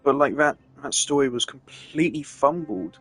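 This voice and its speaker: A man's voice: medium pitch, expressive, normal speed, fairly bright tone. Male game streamer, mid-range voice, casual exasperated rant, emphatic delivery, faint music underneath.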